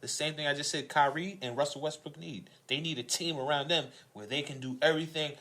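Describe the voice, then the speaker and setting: A man's voice: on the high side, fairly even intonation, a little fast, sharp tone. A man in a casual sports debate, talking combatively with slang and swearing. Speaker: sports podcast panelist.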